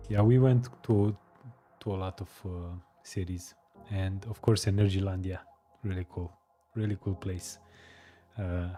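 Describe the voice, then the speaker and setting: A man hosting a live stream, chatting casually with viewers, hesitant with many pauses and fillers. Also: low-pitched, warm tone. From Romania. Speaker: live-streaming coding host. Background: faint music.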